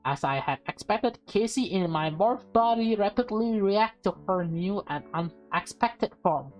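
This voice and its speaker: A woman's voice: mid-range voice, a little slow, dull audio. A woman reading a visual novel aloud with playful, dramatic delivery and casual asides. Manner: expressive, playful, acts out dialogue. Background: music.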